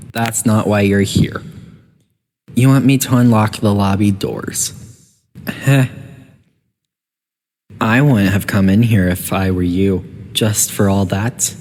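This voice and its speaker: A man voicing a condescending, passive-aggressive computer that runs a testing facility in a video game, with a measured delivery. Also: lowish voice, darkish tone. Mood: menacing, disappointed. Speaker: test chamber announcer and antagonist. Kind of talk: scripted video game voice lines.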